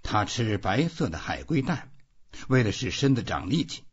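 Male literary narrator, lowish and very raspy, expressive delivery, muffled audio. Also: moderate pace. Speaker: audiobook reader.

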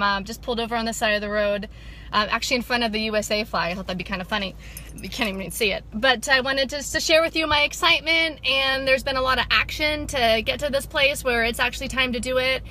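A woman's voice: on the high side, bright, forceful tone, slight background noise. A woman in her thirties, an upbeat sobriety coach chatting with viewers from a parked car into a phone held at arm's length, with many fillers. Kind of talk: casual motivational vlog. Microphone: phone camera at arm's length.

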